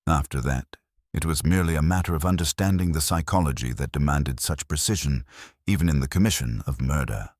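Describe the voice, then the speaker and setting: A man voicing a fussy, precise older Belgian detective who speaks in a measured, reflective murmur. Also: a bass voice, darkish tone, dry recording, studio quality. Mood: satisfied.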